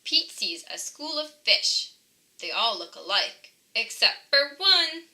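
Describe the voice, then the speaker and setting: A woman reading a children's picture book aloud, with expressive, sing-song emphasis for young listeners. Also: on the high side, takes it slowly. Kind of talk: scripted storybook narration.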